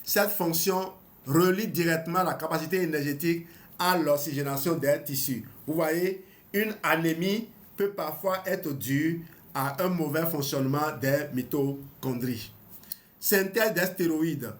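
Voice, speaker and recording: A man's voice: fairly high pitch, a little slow. A man in his fifties reading a health book aloud and adding his own comments, often hesitating and restarting. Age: 50s. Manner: hesitant, with restarts and small laughs. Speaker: health channel host.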